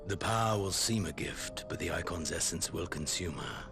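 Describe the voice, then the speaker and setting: A commanding, calculating lord of an empire for a fantasy game. His voice is extremely low and gravelly, with formal, unhurried diction. Prominent music plays behind him. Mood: cold, commanding. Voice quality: very deep, gravelly, breathy at phrase ends. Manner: measured, imperious, formal diction. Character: cold, commanding, calculating imperial lord, grown man. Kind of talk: dramatic video game cutscene acting. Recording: loud music under the voice.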